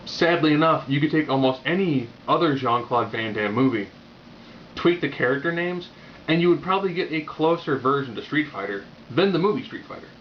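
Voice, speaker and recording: A man's voice: a little high, animated, a little fast. A man giving a casual, opinionated video review in a wry, rambling, unscripted way. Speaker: movie reviewer. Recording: muffled lo-fi audio with background noise.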